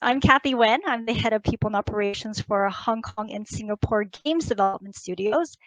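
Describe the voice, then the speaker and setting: A woman's voice: medium pitch, a little fast, no echo, low-quality recording. A woman in people and operations giving an upbeat, prepared keynote for a virtual conference. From United States and Taiwan. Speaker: company HR executive presenting a keynote.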